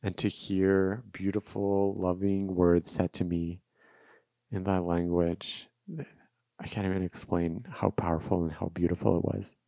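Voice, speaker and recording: A man with a low voice and a flat, even delivery, a native Russian speaker. He hosts a solo podcast, talking reflectively and off the cuff about personal experience, often repeating a phrase for emphasis. The sound is dry, with no room around it. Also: unhurried, creaky, dry recording, low-quality recording. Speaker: podcast host. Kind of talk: unscripted solo podcast monologue, personal storytelling.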